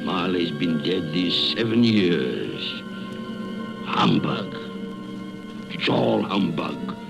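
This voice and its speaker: A man's voice: sits low, big pitch swings, extremely slow, vocal fry, heavily acted. An actor playing a miserly, cold-hearted old businessman, skeptical and fearful, in a theatrical, blustering radio drama style. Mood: scornful disbelief. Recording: dull lo-fi recording with music behind.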